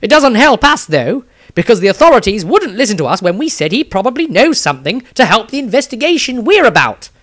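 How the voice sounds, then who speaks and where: A man's voice: high-pitched, very animated, a little fast, few pauses, a bit bright, pitched above the performer's own voice, exaggerated voice. A man voicing a fretful, self-effacing wizard engineer in a fantasy audiobook diary, with a British accent and fussy, wry asides. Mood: wry, anxious.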